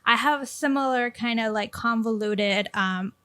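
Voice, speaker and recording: Chatty high-voiced woman podcast host. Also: moderate pace. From Chile. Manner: warm, chatty, lots of "like" and "um". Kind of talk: casual interview chat.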